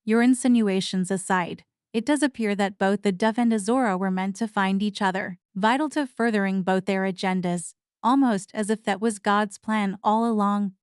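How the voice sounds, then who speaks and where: A woman's voice: medium pitch, moderate pace, hardly any pauses, smooth, no room sound, clean studio sound, close to an everyday voice. A woman playing a prickly, dutiful archivist sister, giving formal scripted recaps with defensive banter. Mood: prickly, composed.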